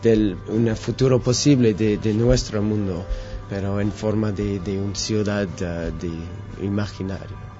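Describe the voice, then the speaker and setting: A man from Quebec whose first languages are English and French, answering interview questions haltingly, searching for words with many fillers. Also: lowish voice, fairly even intonation, mellow. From Quebec, Canada. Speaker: musician guest. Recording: dull lo-fi radio sound with faint music.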